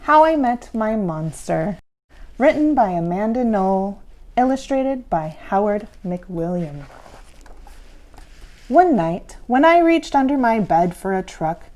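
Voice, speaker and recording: A woman storyteller reading a spooky children's book as a theatrical hostess, dramatic and playful. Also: medium pitch. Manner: dramatic, playful, hushed for suspense.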